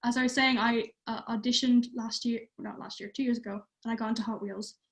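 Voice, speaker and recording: A woman's voice: fairly high pitch, fairly even intonation, brisk. A teenage girl from Ireland, chatting casually on a livestream and using many fillers. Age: teens. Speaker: youth theatre participant.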